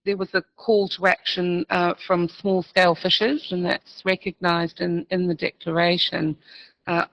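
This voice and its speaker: A man on a seminar panel speaking in formal policy language, halting often with ums and restarts. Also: high voice, gravelly, muffled recording. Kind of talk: panel discussion.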